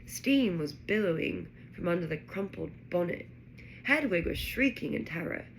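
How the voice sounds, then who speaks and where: Female storybook reader, lower register, expressive and dramatic narration, light background noise. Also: normal speed, low-quality recording. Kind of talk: expressive read-aloud narration of a children's novel.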